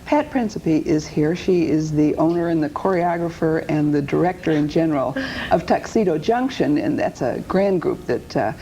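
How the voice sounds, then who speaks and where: A woman's voice: low voice, animated, normal speed, mellow, lo-fi sound, slight background noise. A woman hosting a television talk show, gracious and upbeat as she introduces guests and asks questions.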